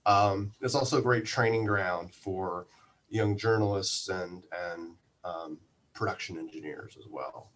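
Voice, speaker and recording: A man speaking as an interview guest, explaining things casually in a halting, thoughtful way. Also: low-pitched, fairly bright tone. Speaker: interview guest, community radio manager.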